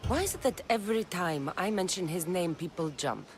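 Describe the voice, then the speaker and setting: A woman's voice: fairly low pitch, animated, fairly quick, slight background noise. An actress playing a bold, blunt female mercenary in a video game, with a direct, dry manner. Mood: blunt, probing.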